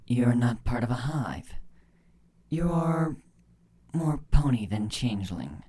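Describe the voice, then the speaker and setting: A man voicing a stern, cool changeling mother in a storybook reading, speaking in a firm, measured, commanding way. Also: medium pitch, expressive, mellow. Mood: calm, explaining.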